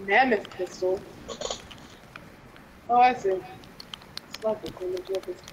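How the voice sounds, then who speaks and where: A woman chatting during a game with friends, curious and asking quick questions. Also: mid-range voice, background noise. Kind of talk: casual gaming chat.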